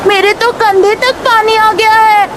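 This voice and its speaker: A woman voicing an innocent, excitable young daughter in a cartoon moral story, speaking in an earnest childlike way. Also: extremely high pitch, measured pace, crowd noise, background noise. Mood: worried, earnest. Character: innocent excitable little daughter of a poor family. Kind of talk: Hindi animated moral story dialogue. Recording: music behind the voice.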